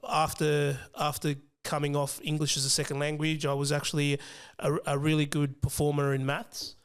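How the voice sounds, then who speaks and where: A young man with an Australian accent, whose first language was Fijian, talking casually as an interview guest, hesitant with many pauses and restarts. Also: on the high side, completely flat delivery, clean tone. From Western Sydney, Australia. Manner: hesitant, many ums and restarts, laughs easily. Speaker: financial planner guest.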